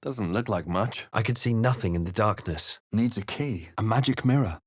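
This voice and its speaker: A man voicing a brooding adventurer hero in a game, narrating his perils in a measured, grave manner. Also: lowish voice, breathy edge, dry recording, clean studio sound. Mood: wary, muttering. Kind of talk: dramatic game narration and short character remarks.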